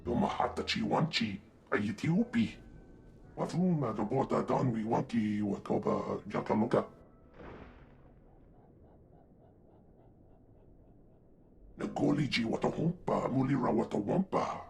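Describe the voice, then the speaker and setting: An actor playing an excitable, gravelly male alien creature babbling in an invented tongue for a video game. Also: medium pitch, normal speed, a little hiss or hum. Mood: troubled.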